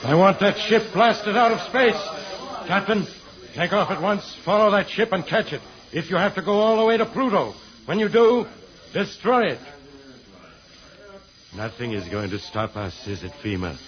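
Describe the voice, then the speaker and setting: An actor playing a ruthless, boastful space warlord with menacing, commanding delivery in an old-time radio serial. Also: male voice, sits high, big pitch swings, normal speed. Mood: furious, commanding. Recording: dull old radio recording, crowd noise.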